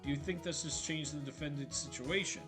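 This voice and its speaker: A man voicing an old male judge making formal, measured announcements, over game music. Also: fairly high pitch, close to an everyday voice. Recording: game music playing behind.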